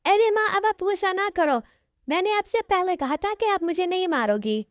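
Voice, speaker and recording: Woman voicing a high, whiny, stubborn cartoon girl. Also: lively intonation, moderate pace, no echo. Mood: pleading, stubborn. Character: bratty, stubborn college-age girl in a cartoon. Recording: dull, lo-fi audio.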